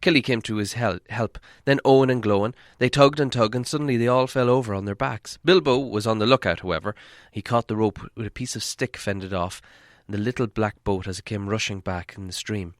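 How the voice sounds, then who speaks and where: A man's voice: on the low side, brisk, no echo, clean studio sound. A man reading a fantasy adventure story aloud as scripted audiobook narration. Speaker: audiobook reader.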